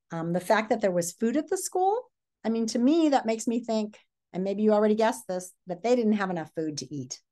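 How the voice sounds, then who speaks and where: Woman teacher reading aloud, deliberate storyteller. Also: medium pitch, dark tone. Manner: deliberate storytelling, pausing to pose questions. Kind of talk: read-aloud lesson with questions to pupils. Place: small furnished living room or hotel room, indoors.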